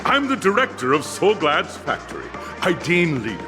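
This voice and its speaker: An actor playing a pompous rich tycoon who introduces himself in a grandiose, self-important way in a game. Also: male voice, fairly high pitch, huge pitch swings, normal speed, over music.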